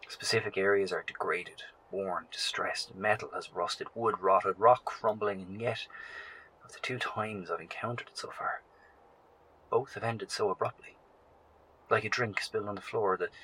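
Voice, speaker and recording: A low-pitched male survivor speaks a field log for a horror audio drama. He is earnest and reflective, describing things in a measured way. The voice is bright and tense, over noticeable ambient noise. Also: poor audio. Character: earnest male post-apocalyptic survivor and radio broadcaster.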